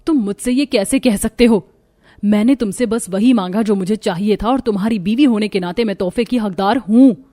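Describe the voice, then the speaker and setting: A woman's voice: mid-range voice, moderate pace, mellow, close to an everyday voice. A woman voicing a boastful, greedy, spoiled young wife in a cartoon story, with a haughty, demanding manner. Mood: angry, entitled.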